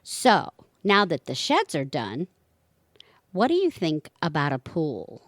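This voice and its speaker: A woman's voice: low voice, wildly swooping pitch, takes it slowly, no room sound, heavily acted. A woman playing a wry, flirty retired wife who likes to scheme and muse, in an amateur audio comedy. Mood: reflective, wry.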